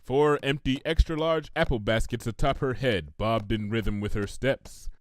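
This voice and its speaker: A man reading a scripted story aloud as an expressive narrator. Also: medium pitch, normal speed, no echo.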